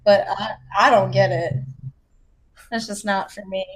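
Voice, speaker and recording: A woman's voice: medium pitch, fairly even intonation, a little slow, rough recording. A woman from South Carolina with an American accent, chatting casually in a playful, self-deprecating, joking way. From South Carolina, United States. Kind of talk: casual chat.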